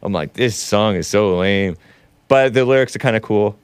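Male host, nasal, rambling and chatty; smooth clean voice, dry close sound. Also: fairly low pitch, normal speed, no room sound. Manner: rambling, laughs often, chats with the live chat. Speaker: show host. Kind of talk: casual opinionated livestream talk.

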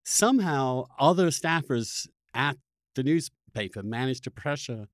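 A man hosting a conversational political discussion, speaking emphatically and haltingly, often repeating words as he builds a point. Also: medium pitch, animated, dry recording, clean studio sound. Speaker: podcast host interviewing a guest.

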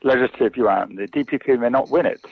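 A man's voice: mid-range voice, quick pace, vocal fry, slightly rough, no echo. A man answering interview questions as a guest commentator, hesitant, with many fillers and restarts. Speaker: China specialist guest. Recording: tinny phone line.

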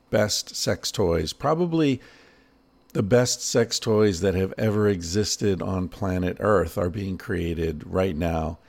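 Low gravelly podcast host, joking. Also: male voice. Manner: playful, joking, animated asides. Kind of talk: casual solo podcast talk and ad read.

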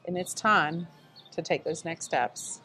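A woman's voice: lowish voice, slow pace, faint music behind. A woman with a light Southern accent, a guest telling stories in a conversational interview and acting out the people in them. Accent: Southern American. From American South. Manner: animated storyteller, acts out quoted dialogue. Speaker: nonprofit staff guest.

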